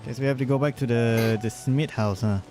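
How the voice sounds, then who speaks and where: A male streamer chats casually over gameplay in a mid-pitched voice. He rambles in slangy asides, with faint game music behind him. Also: fairly even intonation, fairly quick. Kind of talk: casual live gameplay commentary.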